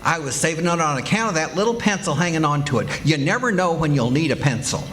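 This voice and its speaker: Man playing a high-pitched, folksy blustering husband. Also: lively intonation, fast talker, a bit bright. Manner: folksy, excuse-making, wisecracking. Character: blustery, boastful, folksy husband who hoards junk. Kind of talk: 1940s radio comedy acting. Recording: live stage recording with background noise.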